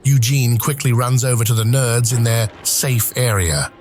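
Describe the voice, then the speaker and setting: A man's voice: medium pitch, steady tone, moderate pace, darkish tone. A man reading scripted screenplay narration for an audio drama.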